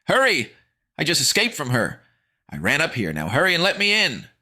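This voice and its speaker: A man voicing a desperate boy in an audio horror story, whimpering and shouting in a strained, frantic way. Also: a little high, huge pitch swings, normal speed, voice pushed up, exaggerated voice. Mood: urgent, whimpering. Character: desperate pleading boy, uncanny imposter outside a door.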